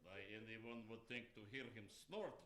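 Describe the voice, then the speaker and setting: A man's voice: on the low side, lively intonation, moderate pace, fairly bright tone, reverberant. A man playing a blustering, bossy tyrant demon who scolds his fellows in an amateur radio play. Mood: scornful, blustering.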